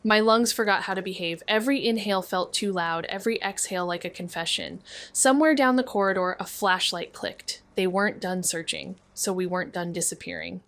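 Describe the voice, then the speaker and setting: An actress playing a dry-witted amateur sleuth who narrates a neo-noir podcast in a deadpan, sardonic way. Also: female voice, mid-range voice, a little fast, close to an everyday voice. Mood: brooding narration.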